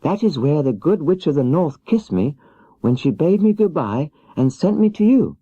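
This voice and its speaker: Man putting on a high-pitched, meek little girl. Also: big pitch swings, normal speed, dark tone, no echo. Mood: meek, earnest. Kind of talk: children's audiobook character voice. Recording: dull 1970s cassette audiobook.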